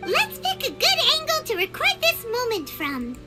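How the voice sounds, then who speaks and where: An actress voicing a tiny, excitable female companion creature in a game, chirpy and eager. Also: very high-pitched, normal speed, over loud music, exaggerated voice. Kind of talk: game voice acting.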